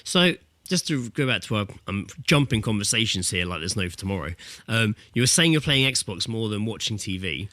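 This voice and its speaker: A man's voice: on the low side, very animated, normal speed, few pauses, sharp tone, dry recording, studio quality. A British man co-hosting a podcast in chatty, sweary casual conversation. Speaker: podcast co-host. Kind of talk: casual chat.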